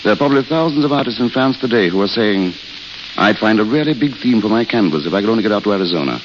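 Actor as a low-voiced, weary gentleman writer, crackly old radio. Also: expressive, quick pace, close to an everyday voice. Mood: wistful, gentle. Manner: courteous, wistful, self-deprecating. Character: weary, self-mocking failed writer, a wandering intellectual. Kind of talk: 1930s-40s radio drama acting. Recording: hissy, crackly, muffled old radio recording.

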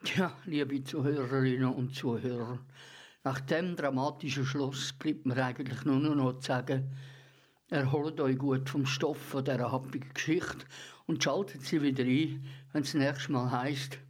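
A man's voice: mid-range voice, measured pace. A man, hosting a radio programme and addressing listeners in a presenter's style. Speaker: radio host. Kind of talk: radio show sign-off.

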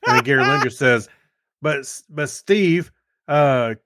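A man's voice: medium pitch, unhurried, pushed and bright, dry recording, clean studio sound. A man chatting casually as a podcast co-host and reading out listener comments in an easygoing, good-humored way.

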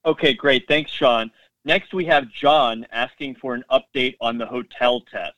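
Bright-voiced male moderator, distant from mic. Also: mid-range voice, fairly even intonation, unhurried, dry recording. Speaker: call moderator reading investor questions. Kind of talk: formal question reading on a conference call. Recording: distant mic on conference call.

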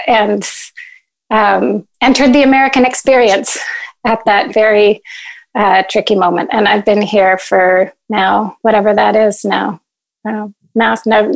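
Gravelly-voiced woman, halting speech. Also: medium pitch, low-quality recording. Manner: halting, self-correcting. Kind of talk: casual conversation.

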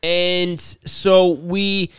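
A man's voice: high voice, level tone, extremely slow, a bit bright, clear, resonant tone, no room sound, studio quality. Rambling young man with a sore throat. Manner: rambling storyteller, many restarts and fillers. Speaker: podcast co-host. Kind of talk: casual chat.

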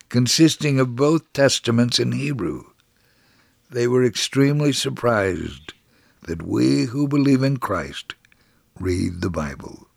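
A man reading a scripted first-person faith story for radio in a measured, solemn, dramatic storytelling manner. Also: medium pitch, vocal fry, no echo, studio quality.

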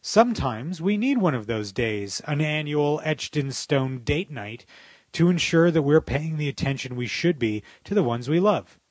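A man's voice: fairly high pitch, expressive, normal speed, no room sound. A man co-hosting a podcast, talking in a wry, sardonic, emphatic way. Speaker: podcast co-host. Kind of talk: scripted opinion monologue and casual podcast chat.

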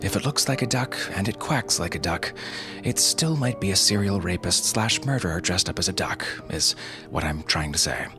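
Man, fairly low pitch, deadpan tongue-in-cheek host, clear background music. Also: normal speed. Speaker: podcast host. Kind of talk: scripted comic podcast hosting. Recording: music behind the voice.

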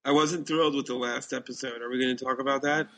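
A man's voice: mid-range voice, steady tone, quick pace, a bit bright. A man co-hosting a casual chat, answering bluntly and briefly with his own opinions. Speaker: podcast co-host.

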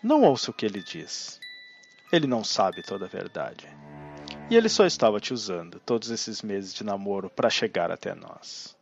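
An actor with a Brazilian accent playing a manipulative undead necromancer in an audio drama, theatrical, grandiose and cajoling. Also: male voice, fairly low pitch, sing-song, moderate pace, vocal fry, dull audio, soft background music. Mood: persuasive, sly. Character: undead male necromancer ancestor, smug, manipulative, persuasive villain.

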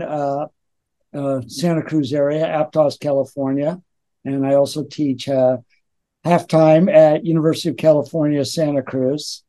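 A man's voice: a little high, warm tone. An American man chatting casually as a guest, in a hesitant, self-deprecating way. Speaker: college instructor, podcast guest. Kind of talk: casual chat.

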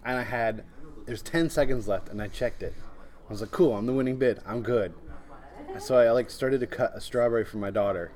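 A man's voice: mid-range voice, brisk, darkish tone. A man, chatting casually as a podcast co-host. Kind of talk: casual chat about anime and daily life.

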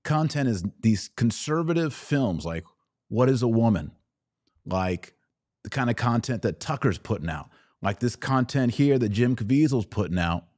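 A man's voice: medium pitch, lively intonation, normal speed, darkish tone, dry recording, studio quality. An American man hosting a live-streamed podcast, giving conversational opinion commentary on the news with short, emphatic phrasing. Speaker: podcast host. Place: studio.

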